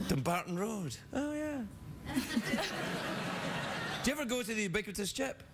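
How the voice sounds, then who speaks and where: A man's voice: sits high, lively intonation, normal speed, vocal fry, heavy background noise. A Scottish man hosting a late-night talk show, teasing and joking with audience members in a playful way. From Scotland. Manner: playful, teasing, laughing often. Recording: studio audience and band music behind.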